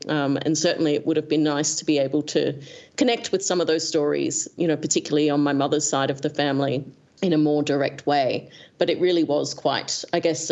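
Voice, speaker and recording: A woman's voice: low-pitched, muffled recording. An Australian woman in her forties, a guest author answering questions thoughtfully, with frequent hesitations and fillers. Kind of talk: reflective conversation.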